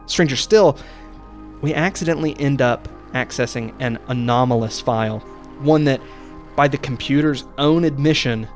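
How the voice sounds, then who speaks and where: A man hosting a video essay, reading scripted narration with a deliberate, dramatic storytelling delivery. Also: mid-range voice. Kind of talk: scripted narration of horror series recap. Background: background music.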